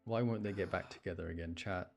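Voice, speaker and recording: A man giving casual live game commentary as a streamer, chatty and playful, reacting out loud. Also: sits low, steady tone, fast talker, creaky. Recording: faint game music behind. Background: video game music.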